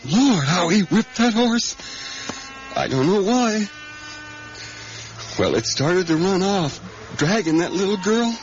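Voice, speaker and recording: An actor playing a haunted, obsessive diner worker in a radio drama, with folksy, plain-spoken rural speech and hesitant, repetitive, intense storytelling. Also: male voice, medium pitch. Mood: agitated, anguished. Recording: dull, noisy 1980s radio recording.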